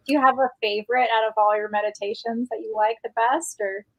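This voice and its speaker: Higher-voiced woman hosting a casual chat. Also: steady tone, fairly quick, poor audio. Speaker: host, interviewer. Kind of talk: casual chat, asking questions.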